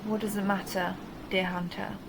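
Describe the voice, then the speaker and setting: A woman's voice: mid-range voice, flat delivery, moderate pace, vocal fry, noisy background, natural voice. A woman playing a seductive, possessive vampire countess who teases and condescends in an intimate audio roleplay monologue. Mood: musing, taunting. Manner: teasing, mocking, intimate purring address.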